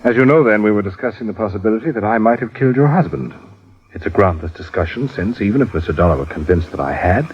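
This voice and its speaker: An actor playing a suave, evasive planter husband, polite, formal and coolly controlled, in a 1950s radio drama. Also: male voice, fairly low pitch, animated, fast, slightly rough. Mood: tense, commanding. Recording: dull lo-fi old radio broadcast.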